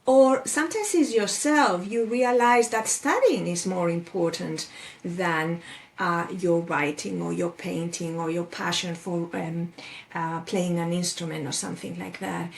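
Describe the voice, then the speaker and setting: A woman's voice: lowish voice, unhurried, creaky. A woman in her forties from Spain with a Spanish accent, talking thoughtfully as a guest life coach over a video call, with fillers and restarts. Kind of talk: casual interview chat.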